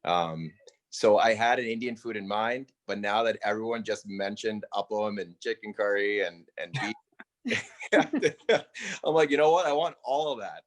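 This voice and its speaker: An American man with a lowish voice speaks as a panelist. His style is casual and chatty, addressed to the other people on the panel. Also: expressive, unhurried. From United States, New York State. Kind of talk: casual chat.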